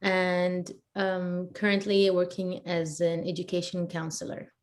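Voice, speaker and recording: Low-voiced Iraqi-born woman, laughs easily. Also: flat delivery, unhurried. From Iraq. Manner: thoughtful, laughs easily, many fillers. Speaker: guest, education counselor and photographer. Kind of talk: casual interview chat.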